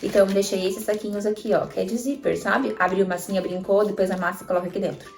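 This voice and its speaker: A woman's voice: mid-range voice, fairly even intonation, quick pace, creaky. A woman in her thirties with a Brazilian accent, a mother showing travel items in a chatty vlog and talking straight to viewers. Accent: Brazilian Portuguese. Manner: chatty, addresses viewers directly with frequent tag questions. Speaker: mother vlogger. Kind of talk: casual show-and-tell tips. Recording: echoey room, mic at a distance, faint music.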